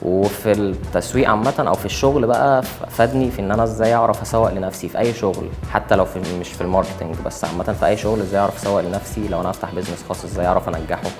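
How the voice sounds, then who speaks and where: A man's voice: fairly low pitch, fairly even intonation, very fast. A 22-year-old man with an Egyptian accent, reflecting on his experience in a testimonial and searching for words. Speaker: intern. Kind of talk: testimonial interview. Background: music.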